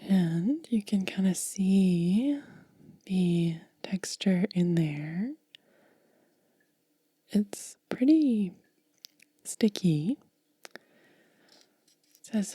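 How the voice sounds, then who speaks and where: A woman whispering in soft-spoken ASMR style, gently and unhurriedly explaining each step close to the microphone. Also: fairly low pitch, dry recording. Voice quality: breathy whisper. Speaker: ASMR content creator.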